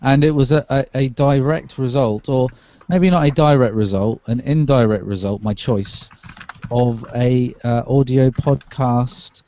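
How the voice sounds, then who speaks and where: A man's voice: mid-range voice, measured pace, warm tone. A man in casual tech chat as a podcast co-host, hesitant and correcting himself as he thinks aloud.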